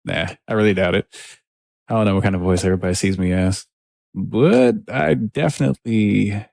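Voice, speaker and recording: A man with a deep, gravelly voice talks in a loose, laid-back way to viewers while he makes music. His speech meanders, and he drops easy asides as he reads the comments. The sound is dry and close, with no room around it. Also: normal speed, no room sound. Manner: laid-back and rambling, with soft laughs. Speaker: music producer streaming his session. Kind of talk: casual livestream chat, reading viewer comments.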